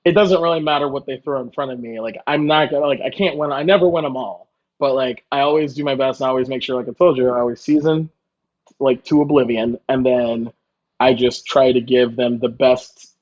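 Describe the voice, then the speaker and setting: A man's voice: mid-range voice, steady tone, a little fast, darkish tone. A man chatting casually as a chef guest in an interview, telling stories informally and quoting people as he goes. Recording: slightly dull, lo-fi audio.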